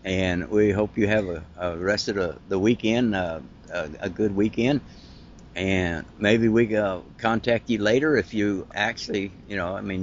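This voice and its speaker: A man with an Ohio accent hosting a podcast interview over an internet call, talking in a rambling, halting, friendly way. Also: on the low side, muffled recording, a little hiss or hum. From Ohio, United States. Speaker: podcast host. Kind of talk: casual interview chat.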